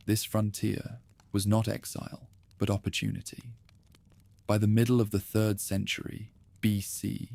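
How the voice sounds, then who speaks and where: A man narrating a calm, scripted history documentary in a soft, even, unhurried way. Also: lowish voice, vocal fry, no echo.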